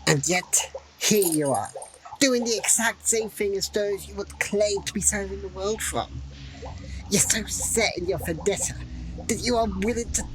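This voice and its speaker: An actress playing a defiant, wise captive holy woman in a fantasy audio drama, measured and gently reproving. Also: mid-range voice, lightly acted. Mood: sorrowful, reproachful. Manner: measured, sighing, pleading, wryly defiant. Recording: clear noisy ambience behind the voice.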